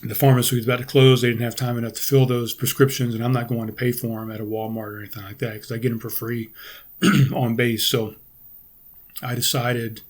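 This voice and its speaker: A middle-aged man hosting a podcast, talking casually with a raspy, hoarse and congested voice, pausing often to clear his throat and restarting sentences. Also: medium pitch, flat delivery. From United States. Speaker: podcast host. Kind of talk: casual solo podcast talk.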